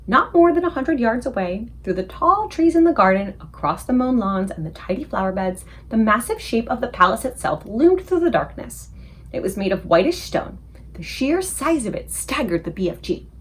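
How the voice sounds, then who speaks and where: A woman reading a children's book aloud as an expressive storyteller for a book club. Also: a little high, moderate pace, husky.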